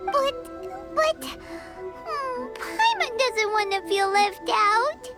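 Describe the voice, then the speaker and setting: A woman voicing a tiny, excitable fairy companion who chirps and talks about herself in the third person, in a game cutscene. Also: very high-pitched, very slow, full character voice. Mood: pouting. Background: loud game music.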